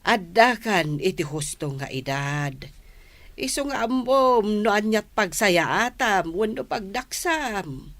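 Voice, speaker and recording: A woman's voice: mid-range voice, lively intonation, slow pace, a bit bright. An actress playing a patient, middle-aged mother giving counsel in an Ilocano radio drama, with an Ilocano accent. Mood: gently advising.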